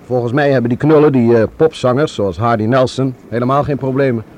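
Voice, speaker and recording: Man acting a blunt, mid-pitched police sergeant. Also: fairly even intonation, fairly quick, darkish tone, natural voice. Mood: grumbling. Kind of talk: radio drama acting in Dutch. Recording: radio play, faint outdoor street noise.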